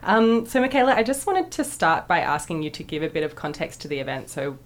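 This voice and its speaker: A woman hosting a radio interview, asking chatty, relaxed questions with fillers. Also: sits low, fairly quick, slight background noise. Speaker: radio host.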